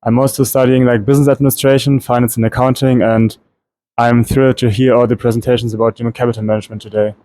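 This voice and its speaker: Fast flat-voiced student. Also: male voice, mid-range voice. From Germany. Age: 20s. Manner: quick self-introduction. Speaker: exchange student.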